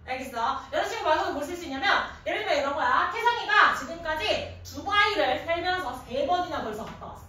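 Woman teacher, very high voice, heavily echoing classroom, distant mic, informal, question-driven lesson delivery. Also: fast talker, few pauses. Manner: animated, quizzing, informal, often prompting with questions. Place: indoor classroom at a chalkboard. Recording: echoey classroom from a distance, faint noise.

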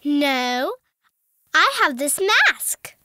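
An eager little cartoon girl playing doctor, with a very high, piercingly bright voice. She is perky, sing-song and bossily caring, with lively swings in pitch. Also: very slow, no room sound, studio quality, heavily acted. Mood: confident. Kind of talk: animated cartoon acting.

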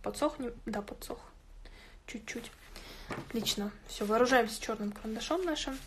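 Woman w a highish voice teaching drawing, chatty, kinda thinking out loud as she goes. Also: normal speed, noisy background. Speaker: art tutorial host. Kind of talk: informal drawing tutorial, explaining while working. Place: indoors at a drawing table.

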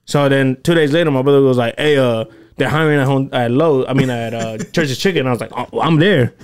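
A man's voice: medium pitch, fairly quick, clear, resonant tone. A man in his thirties co-hosting a casual podcast, telling stories animatedly with plenty of swearing, into a studio mic in a small home studio. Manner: animated storyteller, heavy swearing. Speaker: podcast co-host. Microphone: boom-arm studio mic with headphones.

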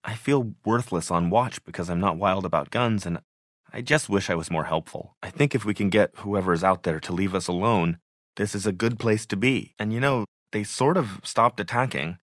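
Guy acting as a nerdy mild tech guy in a zombie game, lowish voice, earnest n kinda hesitant. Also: normal speed, a bit bright, dry recording, studio quality, lightly acted. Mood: downcast, mild. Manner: earnest, hesitant, pedantic when explaining things. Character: nerdy, mild-mannered male tech tinkerer surviving a zombie apocalypse. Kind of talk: naturalistic video game voice acting.

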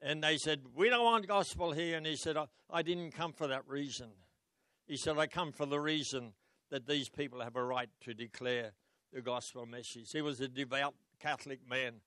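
An older man with an Australian accent preaches a storytelling sermon into a handheld microphone. His voice is smooth and slightly high, and the sound is dry and close. He calls out questions to the congregation between his stories. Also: moderate pace, dry recording. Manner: storytelling preacher, rising to loud exclamations and calling out to the congregation. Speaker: pastor. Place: church stage. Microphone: handheld mic.

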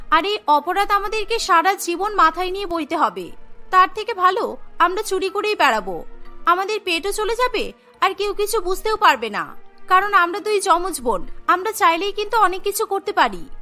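A woman plays an earnest young orphan servant girl in a cartoon. The voice is extremely high and bright, with a plaintive manner, over faint music. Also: exaggerated voice. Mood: resolute. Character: young orphaned twin sisters, poor servant girls, earnest and pleading. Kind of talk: cartoon story dialogue.

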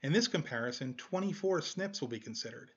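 Higher-pitched man presenting a tutorial, dull sound. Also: lively intonation, brisk, a bit bright, breathy edge. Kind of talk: explanatory tutorial narration.

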